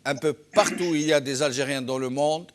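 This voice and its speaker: A man's voice: a little high, a little fast. A man hosting and moderating a political debate on television. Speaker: TV debate host. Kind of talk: moderating a live political discussion.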